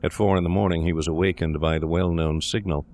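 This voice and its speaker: A man narrates a gothic literary tale in a deep, low voice. He reads as a storyteller from a script. Faint noise and ambience lie behind him. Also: fairly even intonation, fast, darkish tone. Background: eerie ambience.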